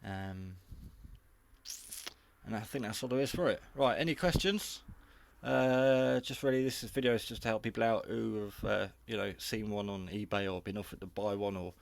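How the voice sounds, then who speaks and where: A man with a fairly low voice talks through a casual product review. He is unscripted and rambles a little, staying matter-of-fact and modest about his own know-how. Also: moderate pace, vocal fry, slight background noise. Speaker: hobby radio reviewer.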